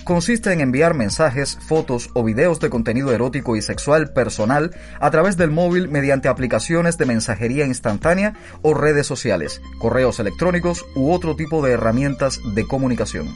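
A man's voice: mid-range voice, animated, moderate pace, hardly any pauses. A male podcast co-host with a Cuban accent. His voice sits in the middle range, with light music underneath. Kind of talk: podcast talk and spot narration.

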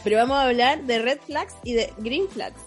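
A woman's voice: on the high side, moderate pace, faint music behind. A young adult woman with a Chilean accent and a sore throat, co-hosting a casual, slangy podcast chat over a video call. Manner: informal, slangy. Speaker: podcast host. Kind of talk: casual chat among friends.

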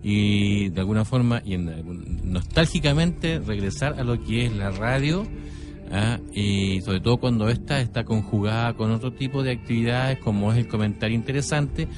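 A man's voice: fairly low pitch, normal speed. A man co-hosting a radio show, talking in a wordy, somewhat formal way with little tag questions. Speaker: radio co-host. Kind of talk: casual radio commentary. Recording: music under the talk.

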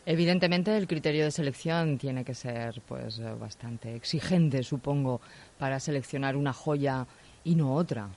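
A male radio interviewer with a Spanish accent from Spain speaks at a middle pitch. He builds his questions hesitantly, in long, wordy phrases. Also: normal speed, a little mellow.

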